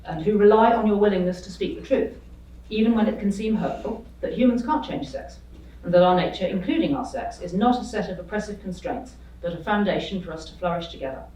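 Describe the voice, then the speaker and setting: A woman's voice: medium pitch, fairly quick, hardly any pauses, dark tone, far from the mic. A woman panelist delivering a prepared speech in an earnest, urging, declamatory way. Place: lecture hall. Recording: roomy hall, voice from off mic.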